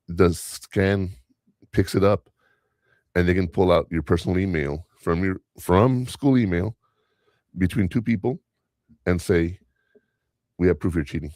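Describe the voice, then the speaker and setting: A man's voice: low voice, a little mellow, no room sound, clean studio sound. A man whose first language is Spanish, hosting a podcast in a casual, rambling way, telling stories from work and chuckling often. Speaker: podcast host and school teacher.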